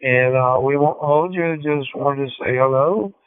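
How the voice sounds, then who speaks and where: An American man making a polite, informal ham radio contact, speaking haltingly over a radio link. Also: mid-range voice, clear, resonant tone, no room sound. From Georgia, United States. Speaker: amateur radio operator. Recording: tinny narrowband digital radio transmission.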